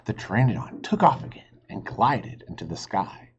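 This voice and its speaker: A man reading a children's book aloud as a teacher, expressive and pausing to ask listeners questions. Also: on the low side, husky. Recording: dull lo-fi recording.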